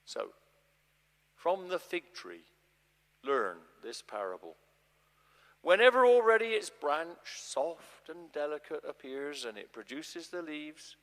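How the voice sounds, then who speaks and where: A man performs a grave, urgent male prophet in a live scripture drama, each phrase weighty and deliberate. The voice sits a little high and moves dramatically up and down, with long reverberation from a large church around it. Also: fairly bright tone, heavily acted. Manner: deliberate, solemn, weighty phrasing with swelling emphasis.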